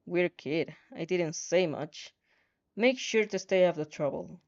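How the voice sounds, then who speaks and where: A woman's voice: fairly low pitch, unhurried, vocal fry, darkish tone, muffled recording, pitched below the performer's own voice. A woman voicing a laconic, kindly grown man in a story, terse and understated. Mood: gruff, kindly. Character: gruff, laconic but kindly adult male teacher.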